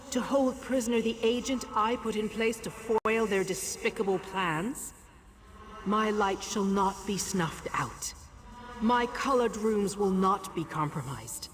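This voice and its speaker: A woman's voice: mid-range voice, close to an everyday voice. A woman voicing an imperious, proud goddess of light in a fantasy video game, speaking in a grand, commanding, declamatory way. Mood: indignant. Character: imperious female deity of light, proud and wrathful.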